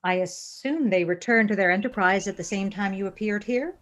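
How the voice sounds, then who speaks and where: A woman playing a female alien science officer in a fan audio drama, unemotional, formal and precise, with deadpan delivery. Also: mid-range voice, brisk, close to an everyday voice. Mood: dry, composed.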